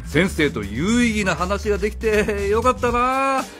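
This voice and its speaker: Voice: medium pitch, animated, a little slow, music in the background, close to an everyday voice. An actor playing a breezy, easygoing father figure in a radio drama. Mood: jovial, bluffing. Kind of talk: Japanese radio drama acting.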